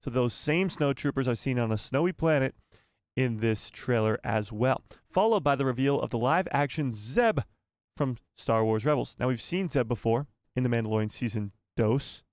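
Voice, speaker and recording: A man's voice: medium pitch, moderate pace, no room sound, studio quality. A man hosting a live fan news stream, reading news and adding rambling, self-correcting asides to his viewers. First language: not Spanish. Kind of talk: casual live news chat.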